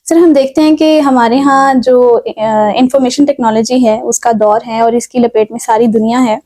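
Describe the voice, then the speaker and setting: A woman with a Pakistani accent hosts a formal interview. Her voice is high in pitch yet soft and mellow in tone. She addresses her guest politely and with deference. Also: steady tone. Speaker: talk show host.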